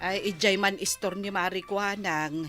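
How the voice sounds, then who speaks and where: Babaeng gumaganap na mausisang kapitbahay. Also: female voice, medium pitch, steady tone, measured pace, fairly bright tone, soft background music, close to an everyday voice. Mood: gossiping. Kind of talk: Ilocano radio drama acting.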